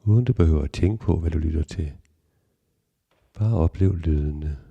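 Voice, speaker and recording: Male meditation guide, low pitch, gravelly creak, calm and measured, long pauses, slightly muffled recording. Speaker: yoga nidra guide.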